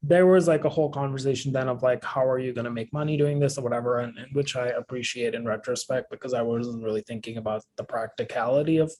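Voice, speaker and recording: Relaxed, chatty man in his thirties. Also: mid-range voice, quick pace, hardly any pauses, a little hiss or hum. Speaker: actor and co-writer. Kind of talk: interview guest.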